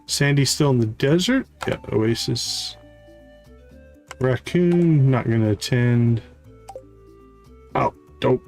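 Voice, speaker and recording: Over soft game music, a man talks through his livestream at a slow pace. His voice sits at a middle pitch, and he thinks aloud in short, casual phrases as he plays. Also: expressive. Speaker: live streamer, game host. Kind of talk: casual gameplay commentary, thinking aloud and reading game dialogue. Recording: music playing behind the voice.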